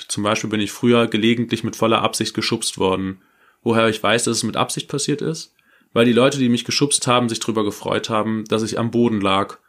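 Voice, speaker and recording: A man with a fairly low voice co-hosts a casual talk podcast. He speaks bluntly and informally, addressing listeners directly. When he reads listeners' stories aloud, he keeps a steady, even delivery. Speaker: podcast co-host.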